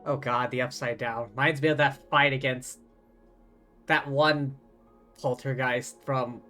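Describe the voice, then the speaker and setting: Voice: medium pitch, steady tone, measured pace, bright, forceful tone. A game streamer giving casual live commentary, reading along and reacting to the game. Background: game music.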